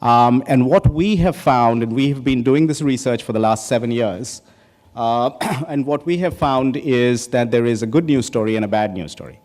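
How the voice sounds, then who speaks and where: A man's voice: mid-range voice, moderate pace, darkish tone. Guy from india at a podium, conversational, lots of ums, hall echo behind him. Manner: conversational, many ums and restarts, jokes with the audience. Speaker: keynote speaker. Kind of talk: conference opening talk. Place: large conference hall stage. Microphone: podium microphone. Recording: reverberant hall.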